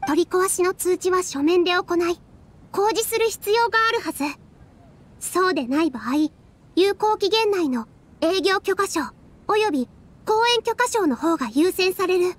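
Earnest young female dancer, anime game, very high, sweet-toned voice, measured debater. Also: expressive. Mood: calm, firm. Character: earnest, soft-spoken young woman dancer defending her theater.

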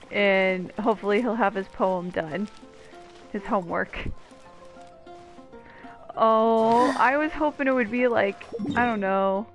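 A woman streaming a video game, giving casual, joking commentary as she plays. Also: mid-range voice. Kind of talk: casual live gameplay commentary. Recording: stream mic over game music. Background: game music.

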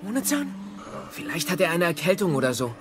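High-pitched young Viking inventor. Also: male voice, big pitch swings, brisk, noisy background. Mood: worried. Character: earnest, wry young male Viking inventor.

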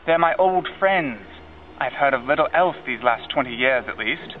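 A man reading an audiobook and voicing a dry, sarcastic older country gentleman with a stern, sardonic manner. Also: mid-range voice, expressive, normal speed, close to an everyday voice. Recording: lo-fi with music behind.

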